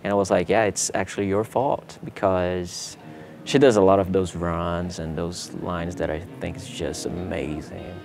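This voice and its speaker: A young Brazilian man, a native Portuguese speaker with a Brazilian accent, casually answering interview questions in a relaxed way while seated in a theater hall. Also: low voice, moderate pace, vocal fry, soft background music. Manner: relaxed, laid-back, many fillers like "you know" and "man". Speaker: guitarist.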